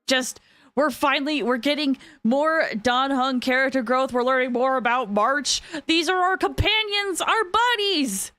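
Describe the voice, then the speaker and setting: A woman hosting a game livestream, chatting casually with viewers in an expressive, excitable way and often repeating phrases for emphasis. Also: sits high, unhurried, dry recording. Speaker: game streamer and host.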